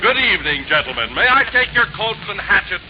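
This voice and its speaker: A man's voice: high-pitched, sing-song, fairly quick, crowd noise. A man playing a jolly, formal, portly butler and announcer in a 1940s radio comedy. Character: jolly, portly male butler, secretly villainous. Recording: muffled, noisy old radio recording.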